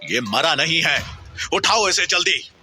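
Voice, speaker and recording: A stern, mysterious woman leader acted out by a male storyteller in an audio horror story. The voice is sharp and forceful, harsh and commanding, with faint music underneath. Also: a little high, sing-song, a little slow, pitched above the performer's own voice, exaggerated voice. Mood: furious. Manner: harsh, barking orders.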